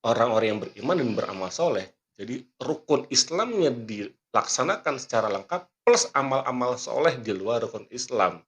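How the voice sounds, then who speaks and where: A man hosting a motivational podcast, teaching earnestly and quoting scripture and sayings, often clearing his throat while he talks because he is not fully well. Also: mid-range voice, lively intonation, normal speed, fairly bright tone. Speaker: magician and motivational speaker. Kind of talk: motivational podcast talk with a magic trick demo.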